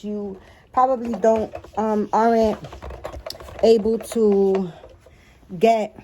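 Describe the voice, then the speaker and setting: A woman's voice: a little high, dark tone. A woman with a Spanish-influenced accent, talking casually in a home vlog. Her talk is chatty and apologetic, full of fillers and restarts, and she moves closer to and farther from the camera mic. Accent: Spanish-influenced English. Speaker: doll collector vlogger. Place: home apartment.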